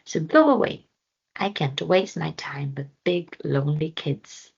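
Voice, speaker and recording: Woman as a curt, haughty caterpillar, animated. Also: low-pitched, measured pace, vocal fry, breathy edge, lo-fi sound, full character voice. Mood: dismissive. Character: grumpy, dismissive male caterpillar, self-important. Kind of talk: children's storybook read-aloud.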